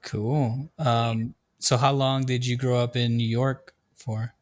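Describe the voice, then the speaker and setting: A young adult man hosting a podcast, asking relaxed questions with frequent "uh" fillers. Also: medium pitch, takes it slowly. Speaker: podcast host. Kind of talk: casual interview conversation.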